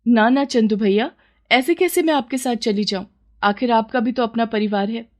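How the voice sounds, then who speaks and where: Woman as a plaintive, high-voiced poor village mother. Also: normal speed, darkish tone, natural voice. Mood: humble, weary. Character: poor, humble, careworn village mother, modest and grateful.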